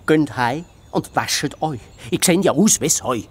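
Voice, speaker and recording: A woman playing a brusque, skeptical male fox detective in a children's fairy-tale audio drama, with a husky voice and gruff manner. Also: low-pitched, lively intonation, unhurried, heavily acted. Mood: matter-of-fact.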